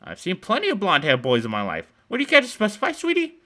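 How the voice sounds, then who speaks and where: A man's voice: high voice, huge pitch swings, fast, pushed and bright, voice pushed up, exaggerated voice. A man voicing a sweet-sounding old woman of about sixty with a cooing, sugary manner. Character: sweet-talking grandmotherly old woman, sinister undertone.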